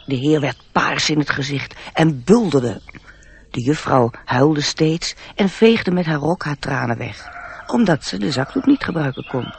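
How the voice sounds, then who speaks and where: A man's voice: a little high, gravelly, a little hiss or hum. A man narrating a radio play in a scripted, literary storytelling style.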